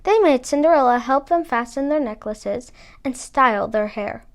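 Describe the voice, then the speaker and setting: A woman narrating a fairy tale as a storybook reader, with expressive delivery. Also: high voice, normal speed.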